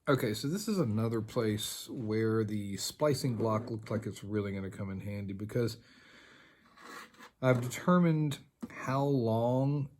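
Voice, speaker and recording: A man giving a casual hands-on tutorial, thinking aloud in a rambling, halting way and muttering to himself. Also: lowish voice, darkish tone, a little hiss or hum. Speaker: hobbyist demonstrating tape editing.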